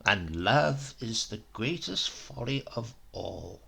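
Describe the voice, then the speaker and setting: A man's voice: medium pitch, big pitch swings, slow, vocal fry, sharp tone, breathy edge, voice pushed down, exaggerated voice. A man voicing a callous, obsessed Italian professor in a horror story, pompous and theatrical. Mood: dismissive, disdainful.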